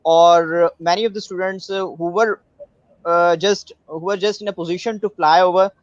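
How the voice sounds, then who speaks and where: A man from Pakistan practicing English in an online group, speaking haltingly with many fillers over a video call. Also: high voice, fairly even intonation, no echo. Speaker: participant in conversation group. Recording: dry, dull lo-fi call audio.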